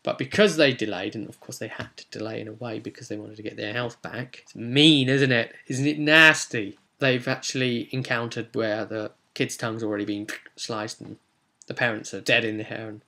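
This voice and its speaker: A man's voice: medium pitch, steady tone, normal speed, gravelly, sharp tone. A man with a British accent casually recounting a tabletop role-playing session as game master, chatty and explanatory, with asides to the viewer.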